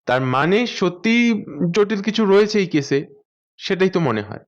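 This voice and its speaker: A man's voice: sits high, lively intonation, normal speed, lo-fi sound. A man playing a friendly, tactful detective in an audio story, conversational and questioning. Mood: conciliatory.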